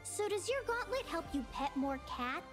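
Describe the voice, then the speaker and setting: A woman voicing a small, excitable magical cat in a video game, childlike, eager and mock-fierce. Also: very high voice, normal speed. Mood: curious eager. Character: small cute magical talking cat, playful, excitable, feisty. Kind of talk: video game character acting. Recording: game clip with music behind.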